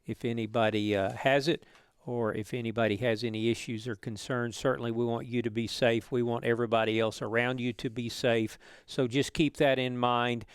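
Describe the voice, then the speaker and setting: A man speaks as a webinar panelist, explaining informally. His medium-pitched voice is clean and smooth, with very little room echo. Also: little pitch movement, moderate pace.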